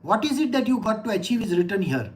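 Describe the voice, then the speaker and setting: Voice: mid-range voice, big pitch swings, fast, darkish tone. A spiritual teacher with an Indian English accent giving a scripture discourse in a scolding, sarcastic manner, slipping into Hindi phrases.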